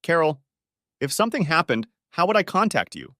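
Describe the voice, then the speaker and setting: A man's voice: fairly high pitch, big pitch swings, brisk, a bit bright, dry recording, studio quality, pitched above the performer's own voice. A man voicing a sarcastic, wounded husband in a dramatic story reading. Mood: pointed, bitter. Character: Steve, sarcastic, wounded husband needling his wife. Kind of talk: audiobook-style dramatic reading of dialogue.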